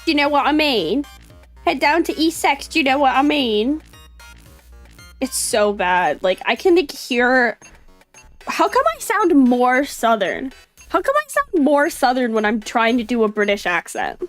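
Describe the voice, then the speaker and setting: High-voiced woman chatting on a livestream. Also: very animated, measured pace, faint music behind. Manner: playful, mimics British accents, swears casually. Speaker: livestreamer reacting to videos. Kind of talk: casual livestream chat.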